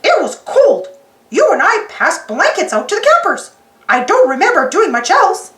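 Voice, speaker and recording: A woman voicing a cheerful, sensible male cartoon mouse who talks earnestly and corrects gently. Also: extremely high pitch, wildly swooping pitch, normal speed, echoey room, pitched above the performer's own voice, exaggerated voice. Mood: gently correcting.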